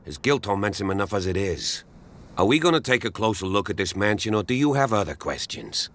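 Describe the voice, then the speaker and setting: An actor playing a gruff, guarded small-town policeman in a video game, speaking in a measured, folksy, wary way. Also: lowish voice, sing-song, fairly bright tone, slight background noise. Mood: defensive. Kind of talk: scripted game dialogue.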